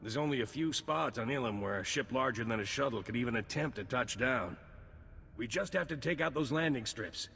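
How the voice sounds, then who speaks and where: An actor playing a dutiful male officer in a video game, gravelly and matter-of-fact. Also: mid-range voice, expressive, a little fast, a bit bright, long reverb tail, a little hiss or hum. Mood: businesslike. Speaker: military officer. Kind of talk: game voice acting.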